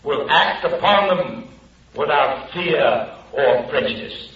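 A solemn, calculating orator in an old-time radio play. He speaks very slowly and formally in a fairly high voice. The sound is muffled and strongly echoing. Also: animated. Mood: earnest. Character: solemn, calculating political orator addressing a party committee. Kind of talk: speech in an old radio drama.

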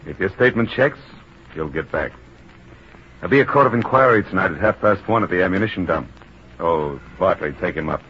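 A man's voice: low voice, lively intonation, brisk, slightly rough. An actor playing a cool, authoritative male rebel commander, terse and probing, in old film drama style. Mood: cool, suspicious. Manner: terse, interrogating. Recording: dull, noisy old film soundtrack.

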